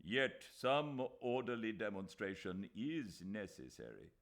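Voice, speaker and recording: A male reader voicing a measured, reasonable man arguing his point. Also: on the low side, big pitch swings, fairly bright tone, pitched above the performer's own voice, exaggerated voice.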